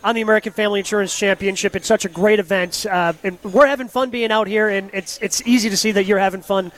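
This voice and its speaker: A man co-hosting a live sports radio show from a golf tournament, chatting with quick enthusiasm. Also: high voice, steady tone, a bit bright.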